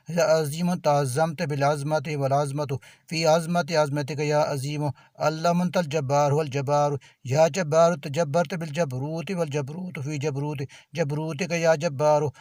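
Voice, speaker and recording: A man chants a devotional supplication in a rapid, rolling rhythm, repeating each divine name in quick succession. His voice sits a little high for a man. The recording is dry and close, with no room sound around it. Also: a little mellow, dry recording.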